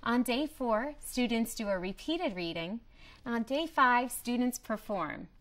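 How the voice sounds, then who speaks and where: A woman presenting a promotional training video in a scripted, clearly structured explanatory way. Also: on the high side, lively intonation, a little slow. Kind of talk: scripted instructional narration.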